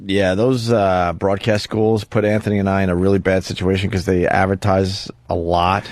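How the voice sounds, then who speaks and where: A man's voice: low voice, level tone, moderate pace, a little mellow, no room sound. Joking male radio host. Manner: coaching and teasing, swearing, laughing. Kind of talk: radio talk show banter. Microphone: studio mic.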